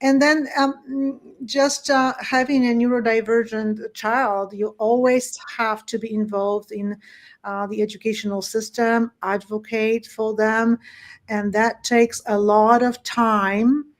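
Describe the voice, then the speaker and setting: Woman, podcast guest, reflective, hesitant fillers, fairly high voice. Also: flat delivery. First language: Polish. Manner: reflective, pauses with fillers and restarts. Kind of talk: casual conversational interview.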